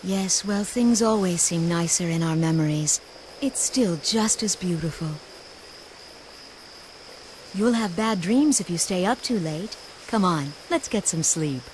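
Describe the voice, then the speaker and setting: Lowish, caring woman. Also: lively intonation, measured pace, a little mellow, slight background noise, low-quality recording. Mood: tender, reassuring. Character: caring, reassuring grown woman in a fantasy game. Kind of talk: video game cutscene acting.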